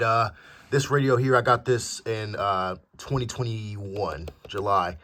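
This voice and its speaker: Low-voiced American man, halting, noisy recording. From United States. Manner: halting, pausing mid-sentence, self-correcting. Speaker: hobbyist video host. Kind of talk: casual show-and-tell vlog. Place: indoor room at home. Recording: clearly noisy amateur home recording with clicks.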